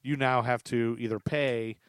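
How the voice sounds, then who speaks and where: A man's voice: medium pitch, steady tone, measured pace, a crowd in the background. A man hosting a podcast, telling stories casually and comically, chatty and amused, repeating facts for emphasis. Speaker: podcast host.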